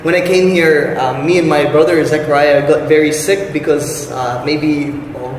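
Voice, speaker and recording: A man's voice: a little high, fairly even intonation, normal speed. A young man from the Philippines, raised in New Zealand, a religious brother speaking earnestly and cheerfully, with hesitant fillers. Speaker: Capuchin brother. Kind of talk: casual self-introduction. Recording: echoey hall with faint noise.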